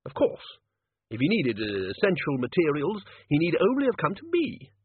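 A man voicing a blunt, blustery old wizard arch-chancellor in an audiobook, brusque, loud and emphatic. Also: a little high, wildly swooping pitch, normal speed, breathy edge, no room sound, narrow, tinny audio, voice pushed up, heavily acted.

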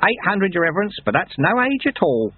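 A man's voice: high voice, very animated, brisk, breathy edge, no room sound, pitched above the performer's own voice, heavily acted. A man playing a wry old monk master. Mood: calm wry. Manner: dry, patient, gently ironic. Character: wry, ancient, calm male monk teacher. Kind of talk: audiobook character reading. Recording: dry, tinny phone-like sound.

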